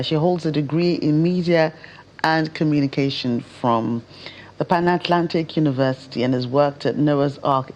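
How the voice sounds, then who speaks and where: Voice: mid-range voice, darkish tone. A television co-host, presenting and interviewing in a conversational style. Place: television studio.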